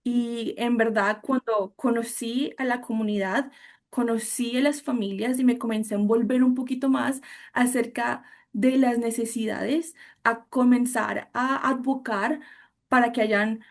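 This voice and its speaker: Guest, woman, 30s, Colombian accent, fairly high voice, eager and fluent. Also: fairly even intonation, measured pace. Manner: eager, fluent, mixes English terms. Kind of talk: interview.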